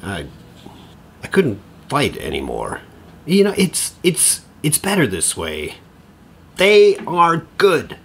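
An actor playing an eager, rambling, over-familiar middle-aged divorced dad in an audio drama. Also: male voice, fairly high pitch, takes it slowly, creaky, pauses often, husky, exaggerated voice. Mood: defensive. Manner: stammering, over-familiar, excitable.

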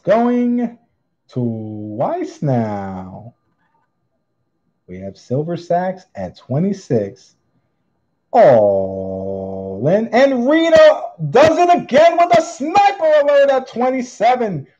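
Male online auctioneer, slow-paced and mellow, theatrical singsong bid calls, slightly muffled recording. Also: high voice, sing-song. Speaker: online auctioneer, channel host. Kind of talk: live online coin auction calling. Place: indoors at a tabletop display.

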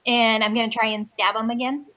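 A woman plays in a tabletop game with a bright, slightly high voice. She sounds cheerful and eager as she calls out her rolls. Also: little pitch movement, brisk. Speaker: player.

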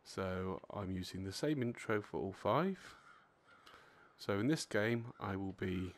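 Chatty English man, low voice. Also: animated, unhurried. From England. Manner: self-deprecating, chatty. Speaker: video maker and player. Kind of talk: casual chat and intro.

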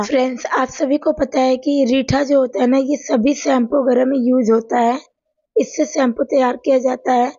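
A hoarse woman with a sore throat narrates a home remedy demo in a chatty voice-over, talking to viewers as friends. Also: high voice, dark tone, no echo, dull audio. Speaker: cooking and home remedy channel host. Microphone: voice-over recorded apart from the video.

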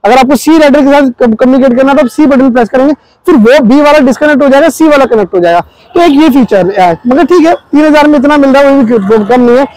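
A man's voice: extremely high pitch, fast talker, a little mellow, no room sound, rough recording. A man, explaining a product to camera in a vlog review, mixing in English technical words. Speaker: motorcycle gear vlogger. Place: outdoors on a busy market street.

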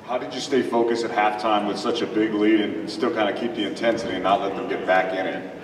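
A man's voice: fairly low pitch, steady tone, quick pace, crowd noise. A man working as a reporter, asking questions with some hesitation. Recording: echoey hall, distant mic, room noise.

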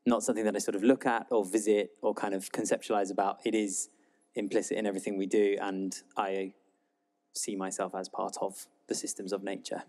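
A man's voice: on the low side, little pitch movement, fairly quick, darkish tone. A man in his thirties answering on a stage panel, thoughtful and gesturing, into a handheld mic. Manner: thoughtful, gestures while talking. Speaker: furniture designer panelist. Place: stage in a large hall.

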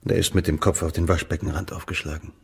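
An actor playing an earnest, concerned male investigator in a German radio crime drama, with a gravelly, husky voice. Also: low-pitched, level tone, fast, exaggerated voice.

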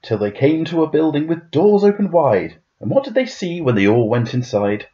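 A man reading a children's rhyming picture book aloud in a dramatic, playful, expressive way. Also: on the high side, moderate pace, highs cut off. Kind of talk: expressive rhyming storybook narration.

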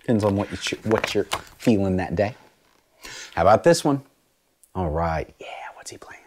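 Brief: deep-voiced male music teacher, very expressive, sing-song read-aloud for kids. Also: normal speed, slightly rough. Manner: animated, sing-song imitations of instrument sounds. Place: small studio room with bookshelves. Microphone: lapel mic.

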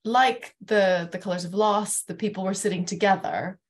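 A woman's voice: mid-range voice, moderate pace. A middle-aged woman moderating a panel discussion over a video call from a room at home. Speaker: panel host.

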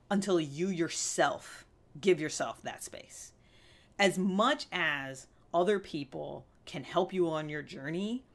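A woman in her thirties giving a candid self-help talk to camera, earnest and emphatic, often checking in with the listener. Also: lowish voice. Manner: earnest, conversational, emphatic with frequent check-in questions. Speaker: vlog host. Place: small indoor room with fabric backdrop.

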